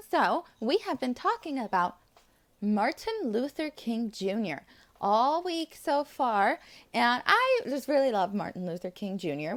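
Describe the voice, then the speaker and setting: Animated, higher-voiced woman reading to preschoolers. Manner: enthusiastic, addressing young children as friends. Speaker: preschool teacher. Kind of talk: children's read-aloud with asides to the listeners.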